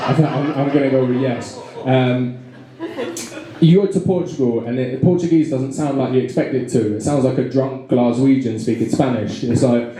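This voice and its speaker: Rambling London comedian in an echoey room. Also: male voice, medium pitch, fairly even intonation, normal speed, warm tone. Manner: rambling, self-deprecating, many fillers and restarts. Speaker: stand-up comedian. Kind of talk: stand-up comedy set. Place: small pub comedy room with a live audience. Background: audience laughter.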